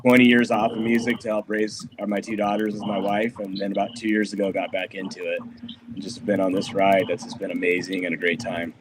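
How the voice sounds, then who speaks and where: Laid-back low-voiced man, noisy line. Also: level tone, a little fast. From Colorado. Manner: rambling, filler-heavy, laid-back. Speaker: musician guest. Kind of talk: casual podcast interview. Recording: noisy background on a remote line.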